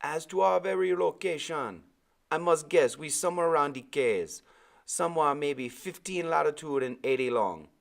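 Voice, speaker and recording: Man putting on a gentle old West Indian sailor, lifted pitch. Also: lively intonation, normal speed, creaky. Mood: grave, explaining. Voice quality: rich, soft and musical. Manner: gentle, reassuring, folksy dialect.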